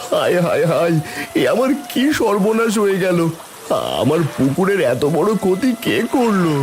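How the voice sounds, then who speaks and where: A man's voice: sits high, animated, moderate pace, a crowd in the background. A man voicing an anxious poor village fish farmer and father in a children's cartoon. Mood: distraught. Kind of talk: Bengali cartoon voice acting.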